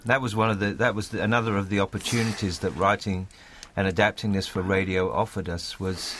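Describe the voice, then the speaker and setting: A man's voice: fairly low pitch, steady tone, fairly quick, fairly bright tone, a little hiss or hum. A man talking earnestly and articulately in an interview, often repeating phrases for emphasis. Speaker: playwright. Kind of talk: thoughtful interview talk.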